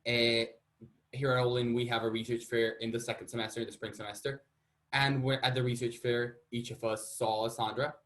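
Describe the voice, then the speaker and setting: A man's voice: mid-range voice, flat delivery, fairly quick, a bit bright. Casual young man presenting research. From Colombia. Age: young adult. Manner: casual, self-deprecating. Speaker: student panelist.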